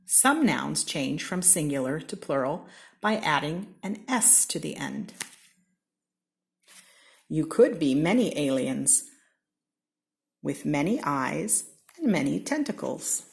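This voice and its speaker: A woman from Seattle with an American accent, reading a children's picture book aloud in a slow, expressive way. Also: creaky. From Seattle, Washington. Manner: slow, expressive read-aloud with lively pitch swings. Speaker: storybook reader.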